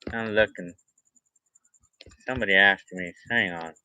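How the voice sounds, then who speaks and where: A man's voice: low voice, measured pace, bright, forceful tone, poor audio. A man in his forties on a casual livestream, reading viewer comments aloud and rambling in a repetitive way, restarting his phrases. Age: 40s. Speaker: livestream vlogger.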